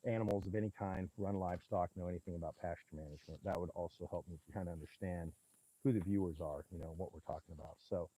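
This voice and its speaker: A man with a booming voice, a rancher, casually explaining grazing math on a livestream from his pasture. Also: fairly even intonation, quick pace, mellow, rough recording. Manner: casual, folksy, explains step by step. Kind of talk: livestream teaching talk, chatting with viewers. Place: outdoors in a pasture among cattle. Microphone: small mic clipped to his hat.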